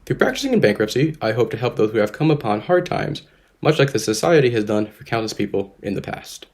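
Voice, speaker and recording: Lively fast-talking young man, formal. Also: medium pitch. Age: 20s. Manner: polished, formal reading. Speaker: law student, scholarship recipient. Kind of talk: reading a prepared thank-you statement.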